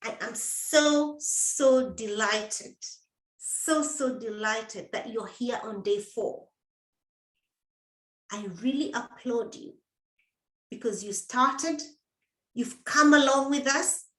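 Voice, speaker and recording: A woman's voice: fairly high pitch, slow pace, pauses often, a bit bright. A middle-aged woman coach hosting a motivational talk, speaking directly to her listeners, encouraging them and repeating herself for emphasis. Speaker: menopause coach and host. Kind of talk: live motivational talk to viewers. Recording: echoey room.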